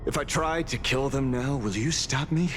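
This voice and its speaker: An actor playing a world-weary, middle-aged detective, grim and resigned, in a dramatic game. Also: male voice, medium pitch, normal speed, a bit bright, close to an everyday voice. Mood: cold resolve. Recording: music behind the voice.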